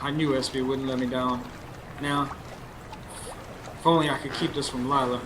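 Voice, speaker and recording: An earnest, folksy Southern working man played by a male actor in a radio drama, scheming and confiding. His voice sits at a middle pitch, over clear background noise from a live recording. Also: little pitch movement, normal speed, natural voice. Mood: confiding, rueful. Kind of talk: radio drama acting with first-person narration. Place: stage before a live studio audience.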